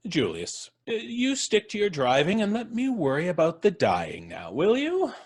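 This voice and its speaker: An actor playing a calm, amused man who cheerfully expects to die soon, talking in an unhurried, cryptic way. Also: high voice, full character voice. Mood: serene. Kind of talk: live radio drama reading.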